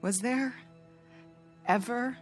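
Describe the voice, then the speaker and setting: A woman's voice: a little high, very slow. An American woman playing a betrayed, weary wife in a video game cutscene, blunt and wounded in clipped phrases. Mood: hurt, angry. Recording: music behind the dialogue.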